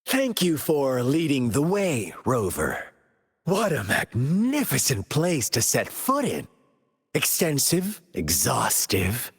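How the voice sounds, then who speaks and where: A gleeful game villain with a gravelly, raspy voice that swoops wildly up and down. He drawls every word very slowly, savoring his menace. A long reverb trails behind him. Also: medium pitch, huge pitch swings, heavily acted. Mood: mocking. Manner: slow, mocking, theatrical with cackling laughs. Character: menacing, gleeful male villain, theatrical and destructive. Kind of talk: game cutscene voice acting.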